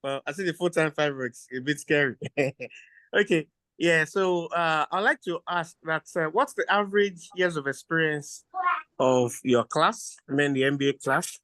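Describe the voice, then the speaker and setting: A man's voice: fairly high pitch, normal speed, a bit bright, dry recording. A man participant asking a question on a video call, hesitant with many "uh"s, sounding a bit away from the mic.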